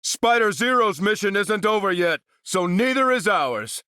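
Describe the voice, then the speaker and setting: A bold battlefield hero for a video game, in a bright, fairly high voice. He rallies soldiers with clipped, determined delivery. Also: animated, measured pace, clean tone, no room sound, clean studio sound, exaggerated voice. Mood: urgent, rallying. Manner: forceful, rallying, clipped military delivery. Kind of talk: video game character voice lines.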